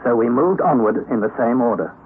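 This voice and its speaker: A young nephew telling of an expedition, voiced by a man in an old radio drama. He narrates in a measured way with lively rises and falls. The recording is thin, phone-like and lightly hissy. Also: medium pitch, natural voice. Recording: tinny lo-fi old radio recording, faint hiss.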